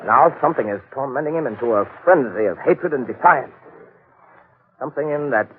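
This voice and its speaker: Intense, sardonic husband played by a man, theatrical 1940s radio melodrama, animated pitch, narrow vintage broadcast sound. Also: fairly quick, slight background noise, close to an everyday voice. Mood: brooding, intense. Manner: theatrical, brooding, dramatic delivery. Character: intense, sardonic husband fixated on a hateful gorilla. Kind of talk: 1940s radio drama acting. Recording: tinny, band-limited 1940s radio recording.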